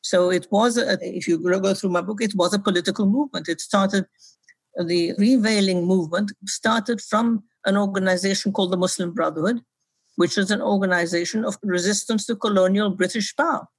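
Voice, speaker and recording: Older woman from Egypt, husky, dry sound, brisk historian guest explaining her research. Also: mid-range voice, steady tone, no room sound, rough recording. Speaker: historian and author. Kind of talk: interview answers.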